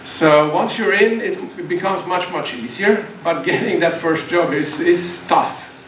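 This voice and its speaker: A man's voice: sits high, unhurried, a bit bright. Hesitant man in echoey hall. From Finland. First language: Swedish. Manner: hesitant, many restarts and repeated words. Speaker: guest speaker, university lecturer. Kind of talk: seminar talk to students. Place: lecture hall. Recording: echoey hall, distant mic, faint noise.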